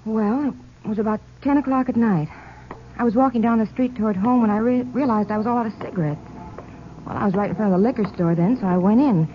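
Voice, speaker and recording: Actress as a nervous, halting American woman witness. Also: fairly high pitch, close to an everyday voice. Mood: recounting. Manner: halting, nervous, plain-spoken. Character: shaken, hesitant female crime witness. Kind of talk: 1950s radio drama acting. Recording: muffled, noisy old-time radio.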